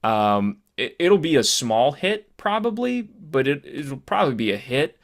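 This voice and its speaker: A man co-hosting a podcast in casual chat, halting and repeating words as he thinks aloud. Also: mid-range voice, very animated. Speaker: podcast co-host. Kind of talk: casual movie discussion.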